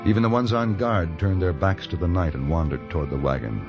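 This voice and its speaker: Deep-voiced, steady Old West gunman, played by a man. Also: a little fast, natural voice. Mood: reflective narration. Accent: American. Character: steady, courteous but firm male Old West gunfighter-for-hire. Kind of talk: old-time radio western acting and narration. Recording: muffled old radio recording, music behind.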